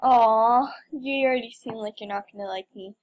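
A woman's voice: a little high, moderate pace, darkish tone. A young woman giving casual, playful game commentary with mock drama and exclamations. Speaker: gamer recording gameplay.

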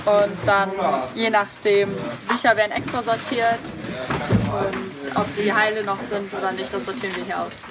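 A woman's voice: medium pitch, flat delivery, normal speed. A young adult woman, an apprentice, giving a short interview at her workplace. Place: donation sorting station. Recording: very noisy work hall.

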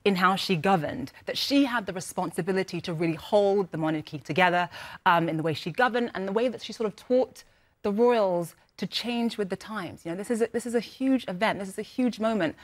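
A woman news anchor, raised in the UK with family from Nigeria, speaking in a measured, reflective way on a live news panel. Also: medium pitch.

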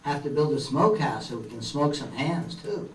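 An amateur actor playing an eager, plucky young castaway sidekick in a staged reading of an old radio adventure. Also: male voice, mid-range voice, expressive, moderate pace, natural voice. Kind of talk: 1930s children's radio adventure acting, read from script. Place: meeting room stage before seated audience. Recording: roomy, distant camera mic, faint noise.

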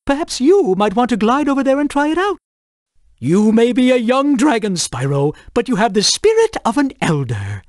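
A serene and courteous monk creature from a fantasy video game, voiced by an actor. The pitch swings widely through measured, formal phrases, and the sound is dry and close. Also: sing-song, dry recording, natural voice. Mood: calm, courteous. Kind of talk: video game character dialogue.

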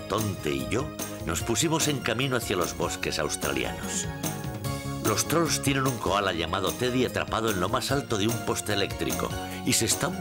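An actor playing a gnome storyteller, giving scripted narration for a children's cartoon adventure. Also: male voice, sits low. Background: loud music.

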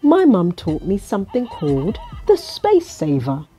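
A woman acts out a kindly hippo father from a storybook, patiently giving advice. The delivery is slow and gently instructive, with lively turns of pitch and faint music behind. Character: patient, kindly hippo dad explaining to his child.

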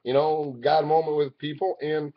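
A man in his forties talking to a webcam in his living room, rambling and swinging from loud outbursts to low mutters. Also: flat delivery, moderate pace. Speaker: vlogger. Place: living room at home, indoors. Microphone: webcam at arm's length. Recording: dull lo-fi webcam audio.

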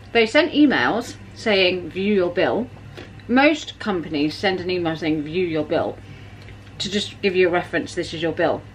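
A woman's voice: on the low side, fairly bright tone, a little hiss or hum, rough recording. A woman, chatting to camera in her kitchen and telling an exasperated story. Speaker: vlogger. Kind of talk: casual chat to camera. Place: home kitchen, indoors. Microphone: camera at arm's length.

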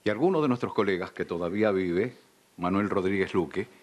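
An older Argentine man, a veteran radio announcer, reminiscing in a measured, polished way in a studio interview. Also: sits low. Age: older, around sixties. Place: dark television studio.

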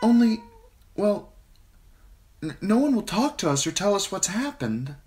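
A man with a deep voice putting on an anxious, polite teenage noble girl, pitching his voice up and pleading. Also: big pitch swings, measured pace, a little mellow. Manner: pleading and breathless.

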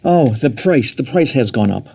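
A man plays a brusque clerk at a ticket office in a radio drama. His pitch is middling and his manner formal and firm. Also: animated, normal speed, gravelly, darkish tone, breathy edge. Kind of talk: radio play acting.

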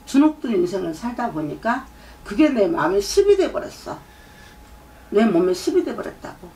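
A woman's voice: a little high, very animated, normal speed, dark tone. A middle-aged woman, a shaman doing a fortune-telling consultation, lecturing and often prompting with "eh?". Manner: lecturing, repeats herself, prompts with "eh?". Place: shrine room with folding screen and drum. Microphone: far camera. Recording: distant mic, faint room ambience.

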